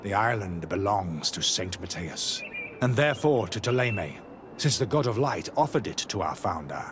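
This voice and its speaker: An actor playing a zealous, righteous missionary monk in a video game, with a fervent, preachy delivery. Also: male voice, on the low side, sing-song, moderate pace, background noise. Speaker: missionary brother.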